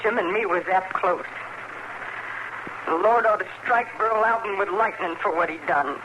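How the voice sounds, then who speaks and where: An actress playing a grieving, stoic frontier farm widow with a rural American accent, brooding and plain-spoken in an old radio western. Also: female voice, mid-range voice, moderate pace, highs cut off, natural voice. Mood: brooding certainty. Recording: noisy old radio drama recording.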